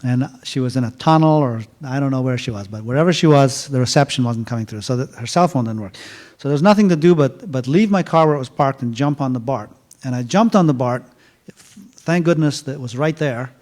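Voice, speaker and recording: A middle-aged man telling a story casually at a university event, halting with many fillers and restarts. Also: medium pitch, darkish tone. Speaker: author speaking at a university event.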